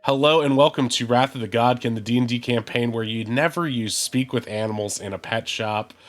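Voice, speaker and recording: A man's voice: mid-range voice, a little fast. A man hosting a tabletop game stream, congested from the flu, chatting loosely with lots of fillers before opening the session. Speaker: game master. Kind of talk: casual stream chat and game intro.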